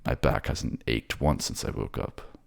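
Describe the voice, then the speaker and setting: A man voicing a grumpy, battered but tender warrior king, gruff, weary and halting, in a fantasy audio drama. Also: very deep voice, pitched below the performer's own voice, full character voice. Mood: wry, grumbling.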